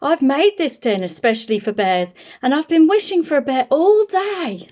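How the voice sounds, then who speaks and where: Storybook little girl, voiced by a woman: earnest, chatty and hopeful, highish voice with very lively intonation. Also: moderate pace. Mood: eager, welcoming. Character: eager, earnest little girl who loves bears. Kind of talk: children's picture book read-aloud.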